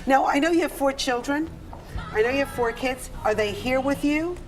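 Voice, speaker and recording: A woman's voice: high-pitched, moderate pace, soft background music, poor audio. A woman co-hosting a morning TV show, asking chatty interview questions in a studio. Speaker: morning TV co-host.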